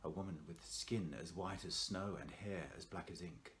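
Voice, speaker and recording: A man reading a scripted horror story in a theatrical, suspenseful way with dramatic pauses, as an actor would. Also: deep voice, fairly even intonation, a bit bright, slight background noise.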